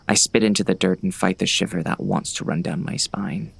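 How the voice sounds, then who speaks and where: An actor playing a wry, uneasy gunslinger, narrating a western audiobook in a dry, brooding manner. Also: male voice, low voice, fairly even intonation, a little fast, creaky, fairly bright tone, husky, full character voice. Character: terse, uneasy gunslinger on horseback, wry and weary.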